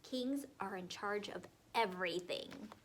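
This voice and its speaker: A woman voicing a bossy, boastful young boy who pretends to be a king, demanding and loud. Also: medium pitch, a little slow, a little hiss or hum, close to an everyday voice, rough recording.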